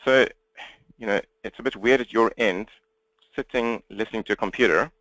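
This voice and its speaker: A man with a South African accent presenting an interactive webinar, talking fast in a direct way and engaging listeners with questions. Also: fairly low pitch, fairly bright tone, no echo, poor audio. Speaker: webinar presenter and coach for accountants. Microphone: computer webinar microphone, presenter standing.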